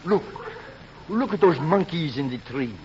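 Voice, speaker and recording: An actor playing a suave, amorous lover who woos in a gushing, melodramatic way in 1940s radio comedy style. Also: male voice, fairly high pitch, expressive, measured pace. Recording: muffled old radio recording, faint hiss.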